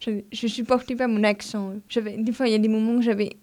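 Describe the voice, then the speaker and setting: A woman speaking as a guest on a radio discussion, hesitant and self-conscious, with halting restarts. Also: on the high side, level tone, mellow.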